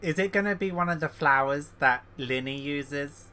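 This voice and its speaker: A woman's voice: sits low, normal speed, bright, forceful tone. A woman streamer giving casual reactions while playing a game. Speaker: gaming streamer.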